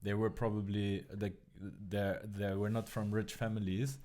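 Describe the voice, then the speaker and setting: A man's voice: fairly low pitch, flat delivery, measured pace, darkish tone. A man hosting a podcast, speaking thoughtfully in casual talk and searching for words. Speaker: podcast host. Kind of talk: casual conversation.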